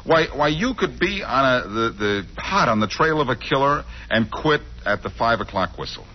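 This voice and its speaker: An actor playing a cocky, quick-witted amateur sleuth who needles people, in 1940s radio style on a muffled old recording. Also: male voice, medium pitch, sing-song. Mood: needling. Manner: smooth-talking, sardonic, teasing.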